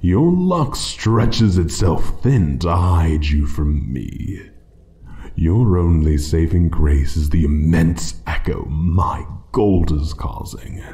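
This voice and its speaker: Man voicing a deep, slow, ancient menacing dragon. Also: animated, mellow, exaggerated voice. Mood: predatory taunting. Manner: haughty, taunting, slow deliberate menace. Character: ancient menacing male dragon guarding its hoard. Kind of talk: fantasy ASMR roleplay monologue.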